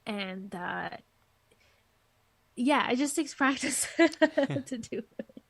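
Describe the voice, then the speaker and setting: Woman w/ soft sweet light voice, kinda high, lots of likes and ums, dry call audio. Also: expressive, drawn-out words, vocal fry, dry recording. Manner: rambling, filler-heavy, giggly. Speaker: interview guest, singer and music teacher. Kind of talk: casual interview over a call. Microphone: headphones on a call.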